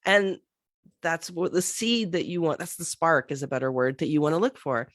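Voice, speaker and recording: A middle-aged woman with a cold, hoarse and a bit scratchy, chatting animatedly as a podcast guest with many fillers. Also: low voice, moderate pace, no echo, clean studio sound. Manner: animated, thinks aloud with many fillers and restarts. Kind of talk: casual interview chat.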